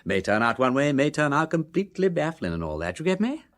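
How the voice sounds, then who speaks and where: An actor playing an aristocratic English gentleman detective in a radio drama, with a husky voice, an affected chatty drawl and an upper-class English accent. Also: on the high side, very animated, fast. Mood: breezy, affable. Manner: affected silly-ass drawl with tag questions. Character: aristocratic gentleman sleuth, breezy, chatty, shrewd underneath.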